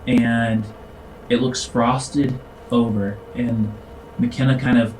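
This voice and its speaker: A man narrating a tabletop game as game master, improvising descriptions in a halting, informal way. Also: mid-range voice, flat delivery, a little mellow, distant mic, slight background noise, rough recording. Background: music.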